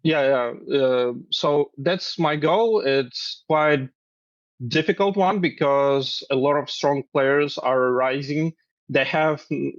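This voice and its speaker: A man's voice: mid-range voice, no room sound. Young male grandmaster from Belarus, hesitant and filler-heavy, dull dry line. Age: 20s. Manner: hesitant, many fillers, laughs lightly. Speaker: chess grandmaster and coach. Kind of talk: interview answers.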